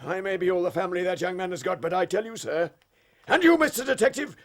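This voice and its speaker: Irascible old English lord, miserly and pompous, gravelly, wildly swooping delivery, period detective drama. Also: male voice, high-pitched, lively intonation, quick pace. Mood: indignant, blustering. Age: older. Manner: indignant, pompous, haughty.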